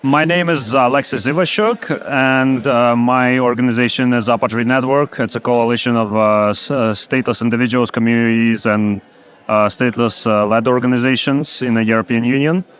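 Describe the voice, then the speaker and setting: A man who leads an advocacy coalition, speaking hesitantly in an interview with many pauses. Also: mid-range voice, fairly even intonation, a bit bright, clean tone. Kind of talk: interview testimony.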